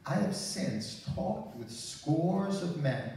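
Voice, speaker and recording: Mellow older man reading slowly, echoey. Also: on the high side, lively intonation, creaky. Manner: measured, careful reading. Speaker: reader at a church service. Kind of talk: reading aloud from printed text. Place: small church sanctuary. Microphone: handheld microphone, filmed from audience. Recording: echoey hall, distant mic, faint noise.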